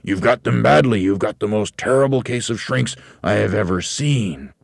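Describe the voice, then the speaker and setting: A man's voice: low voice, lively intonation, vocal fry, no echo, exaggerated voice. Man acting a gleefully nasty, bullying old husband. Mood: gloating accusation. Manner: emphatic, taunting, drawn-out pronouncements. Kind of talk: theatrical children's storybook reading.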